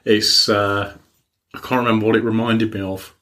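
A man co-hosting a casual music podcast, often halting and searching for words. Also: on the low side, flat delivery, bright, forceful tone. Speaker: podcast co-host.

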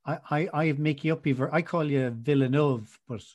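Male podcast host, eager, tripping over his words, a little high-pitched. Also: normal speed, darkish tone. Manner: eager, stumbling restarts. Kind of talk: casual interview chat.

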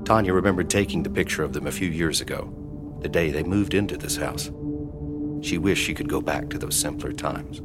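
Male narrator, extremely low register, solemn horror-drama storytelling with prominent music underneath. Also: fairly quick.

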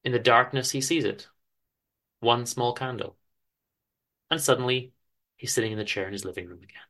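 A man reads literary fiction aloud in a dramatic style. His voice is mid-pitched, with a sharp, bright edge. He places emphasis deliberately, using theatrical pauses. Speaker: author reading own story aloud. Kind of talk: dramatic literary story reading.

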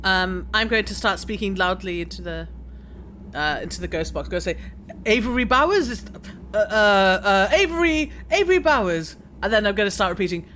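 A woman improvising as a player in a tabletop role-playing game, halting and stammering as she goes. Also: mid-range voice, expressive, a bit bright, slight background noise.

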